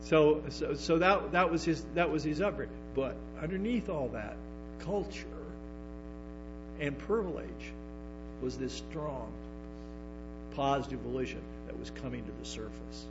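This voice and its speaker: A man's voice: on the high side, measured pace. Man preaching a lo-fi sermon. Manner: repetitive restarts, emphatic asides to the congregation. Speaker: pastor. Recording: dull lo-fi sermon recording with music and hum.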